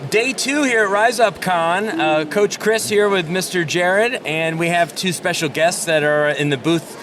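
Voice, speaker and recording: A man's voice: high-pitched, measured pace. A man casually hosting a conversational podcast from a noisy convention floor. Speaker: podcast co-host. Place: convention booth, live recording. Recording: noisy busy convention crowd. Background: crowd ambience.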